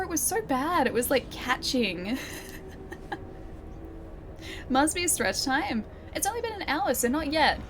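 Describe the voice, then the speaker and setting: A woman streamer chatting and laughing with her viewers as she plays a game, her voice a little croaky. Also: sits high, fairly bright tone, a little hiss or hum. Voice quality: voice a bit croaky early in stream. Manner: chatty, giggly, talks to chat while playing. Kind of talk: live gameplay commentary. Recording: game soundtrack playing behind. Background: game music.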